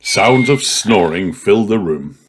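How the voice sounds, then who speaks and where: A man's voice: on the low side, lively intonation, slow, very noisy. A man narrating a children's storybook from a script as an audiobook reader.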